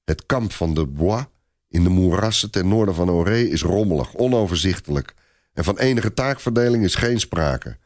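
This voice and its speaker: Very deep-voiced male storyteller. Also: normal speed. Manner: expressive storytelling. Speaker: narrator. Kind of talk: dramatic scripted story narration, radio play.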